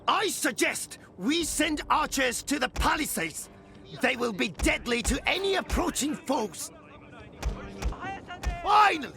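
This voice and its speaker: A man's voice: extremely high pitch, sing-song, a little slow, creaky, sharp tone, exaggerated voice. A man playing a fierce, urgent samurai battle herald in a game cutscene, declaiming in exclamations over music. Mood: urgent counsel. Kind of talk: dramatic game cutscene acting. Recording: music behind the voice. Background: cinematic music.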